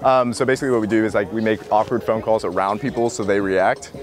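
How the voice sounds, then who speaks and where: A man's voice: on the low side, steady tone, quick pace. A young American man in his twenties chatting casually and apologetically with passers-by as a video host. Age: 20s. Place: outdoors and in busy campus spots. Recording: outdoor crowd chatter behind.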